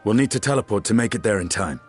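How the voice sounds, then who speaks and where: An actor playing a composed, businesslike male adventurer in a video game cutscene, with a husky voice. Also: fairly low pitch, animated, fast talker, soft background music. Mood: composed, brisk.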